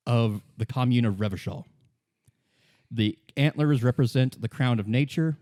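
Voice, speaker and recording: A man's voice: medium pitch, warm tone, no echo. Casual man game master, dry close sound. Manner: conversational, colloquial. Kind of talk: casual improvised narration of a tabletop-style game.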